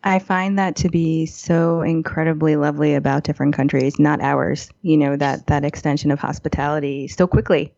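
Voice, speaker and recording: Deep-voiced woman co-host, dry sound. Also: fairly even intonation, moderate pace, vocal fry, few pauses, a little mellow, dry recording. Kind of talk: conversational interview. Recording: dry, muffled lo-fi audio.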